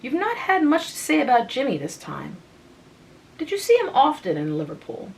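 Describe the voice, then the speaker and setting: A woman's voice: high-pitched, wildly swooping pitch, moderate pace, vocal fry, far from the mic, voice pushed up, exaggerated voice, rough recording. A woman voicing a blunt, careless young woman who speaks in a teasing, matter-of-fact way.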